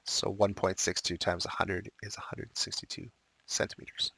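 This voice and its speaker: A male math teacher walking through unit conversions step by step, with casual asides and a slightly raspy, dry-throated voice. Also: deep voice, flat delivery, normal speed, bright, forceful tone, no echo, low-quality recording. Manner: explains step by step with asides and fillers. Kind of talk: recorded math lesson walkthrough.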